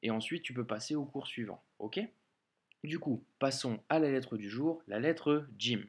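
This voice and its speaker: A man whose first language is French teaches reading Arabic in a patient online lesson. He explains step by step, stopping often with small hesitations. His voice sits at an ordinary middle pitch. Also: husky. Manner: patient, explains slowly, many hesitations and "euh".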